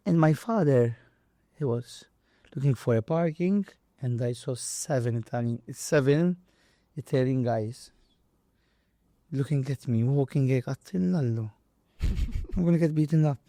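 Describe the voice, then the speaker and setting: A man's voice: mid-range voice, moderate pace, warm tone, dry recording. Maltese man telling stories, very dry sound. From Malta. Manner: acts out dialogue and impact sounds; non-native, broken English with Maltese mixed in. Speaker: boxer and boxing trainer, interview guest. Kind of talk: casual animated storytelling.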